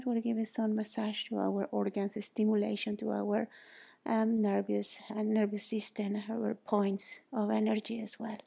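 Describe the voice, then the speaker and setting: Gravelly, soft-spoken Mexican woman. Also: medium pitch. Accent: Mexican Spanish. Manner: soothing, encouraging, often drops to a near whisper. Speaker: yoga teacher. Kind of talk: guided exercise instruction. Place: bright indoor yoga studio.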